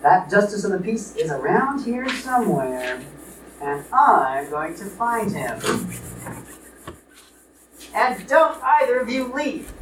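A stern, suspicious rich father, acted out by a performer in an old-fashioned comic radio play. The delivery is indignant and threatening, with big pitch swings. It sounds roomy and distant, with some background noise. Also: a bit bright. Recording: distant echoey live stage, noisy.

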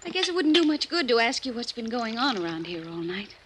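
An actress playing a cheeky, quick-witted young woman in an old-time radio drama, bantering flippantly and evasively. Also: fairly high pitch, sing-song. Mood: wry, prying. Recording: faintly noisy old radio recording.

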